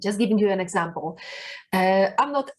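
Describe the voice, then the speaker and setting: A woman's voice: medium pitch, animated, moderate pace. A woman from Poland speaking English with a Polish accent, coaching viewers on personal branding in an earnest, encouraging way, with frequent fillers and restarts. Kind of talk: talking to camera, giving advice.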